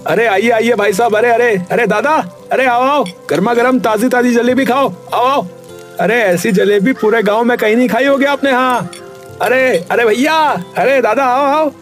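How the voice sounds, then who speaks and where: A man's voice: very high-pitched, moderate pace. A man voicing a kind, eager village jalebi seller in a cartoon story. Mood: hawking. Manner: eager, pleading and hawking loudly. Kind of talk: cartoon voice acting. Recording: faint music behind.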